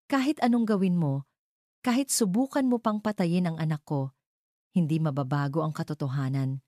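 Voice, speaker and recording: Woman as a firm, defiant wronged father, low-voiced. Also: moderate pace, mellow, no room sound, studio quality, natural voice. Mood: firm defiance. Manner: low, emphatic, controlled. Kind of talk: audio drama storytelling.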